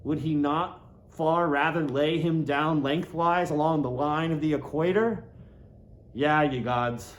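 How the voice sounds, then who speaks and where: A man reading a classic novel aloud in a deliberate, dramatic way, lingering on words. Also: on the high side, slight background noise. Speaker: audiobook reader. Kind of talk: literary narration, theatrical reading of a classic novel.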